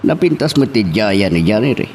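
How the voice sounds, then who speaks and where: A man's voice: fairly low pitch, big pitch swings, moderate pace. A man playing a plain-spoken older father who is fond of his daughter, in an Ilocano-language radio drama. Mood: conceding, persuading.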